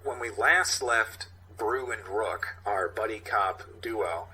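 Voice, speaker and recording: A man's voice: lowish voice, takes it slowly, sharp tone, slight background noise, poor audio. A man hosting a podcast, chatting casually and reading out a comic script. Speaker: podcast host.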